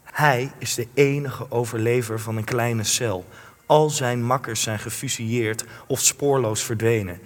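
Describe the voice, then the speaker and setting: A man's voice: mid-range voice, steady tone, a little slow, close to an everyday voice. An actor playing an indignant young male journalist in a radio play, articulate and reproachful. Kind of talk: radio play acting in Dutch.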